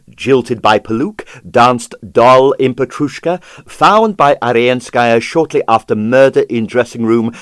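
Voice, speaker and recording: Theatrical male storyteller, very varied pitch. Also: no echo, studio quality. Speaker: audiobook narrator. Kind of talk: dramatized reading of a comic detective novel.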